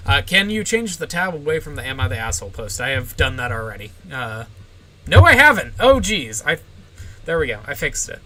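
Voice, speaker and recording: Bright, deadpan young streamer woman. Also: rumbling low, fairly quick. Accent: general American. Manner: short, deadpan answers with frequent "uh" and "like". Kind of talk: casual livestream Q&A, answering chat.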